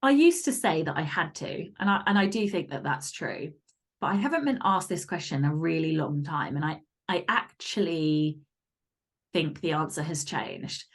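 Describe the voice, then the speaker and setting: A woman with a slightly low voice talks casually as a podcast guest. She is candid and blunt, stressing her points with feeling and swearing freely. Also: expressive, normal speed, breathy edge. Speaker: podcast guest, fiction author. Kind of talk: casual, candid interview chat.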